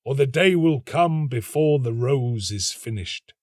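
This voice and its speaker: A man voicing a talking tree in a storybook, urgent and commanding, with slow, drawn-out calls. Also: medium pitch, expressive, darkish tone, no room sound, clean studio sound.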